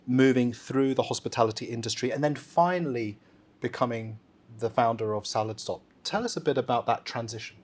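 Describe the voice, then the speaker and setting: A man's voice: mid-range voice, brisk, darkish tone. A man hosting a university podcast interview, speaking formally and full of praise for his guest. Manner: formal, effusive. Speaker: podcast host, professor.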